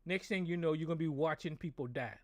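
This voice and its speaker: Hoarse, angry American man ranting. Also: a little high, fast, dry recording. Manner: angry, profane, repetitive. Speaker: show host. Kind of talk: political rant on a call-in show.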